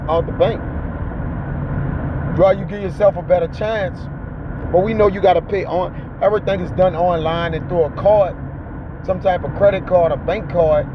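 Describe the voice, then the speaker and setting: A man with a notably high voice gives preachy, off-the-cuff commentary. He speaks in a colloquial, exhorting way, tagging his points with little check-in questions. The sound is dull and muffled, with plenty of road noise from the car around him. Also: fairly even intonation, moderate pace, pauses often. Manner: exhorting, colloquial street-preacher talk with "all right?" and "man" tags. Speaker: host of a ride-along talk show. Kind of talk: preachy casual commentary, reading an article aloud and riffing.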